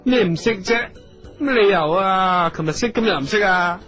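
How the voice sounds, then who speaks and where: A man's voice: high voice, moderate pace, fairly bright tone, dull audio. An actor playing a fussy, effeminate, clingy male talent manager in a comedic radio drama, with a Hong Kong Cantonese accent and a whiny, auntie-like manner. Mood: insistent, pouting. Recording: music behind the voice.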